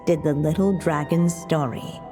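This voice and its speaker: A woman playing a solemn, tender witch mother who narrates a game's fairy tale in a measured, formal manner. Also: deep voice, big-room sound. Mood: gentle, wistful. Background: music.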